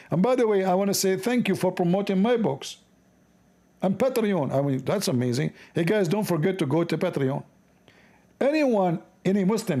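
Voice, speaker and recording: A man with an Arabic accent reading aloud haltingly and breaking off for sarcastic, joking commentary. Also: high-pitched, a little mellow. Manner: halting, stumbling reading of English.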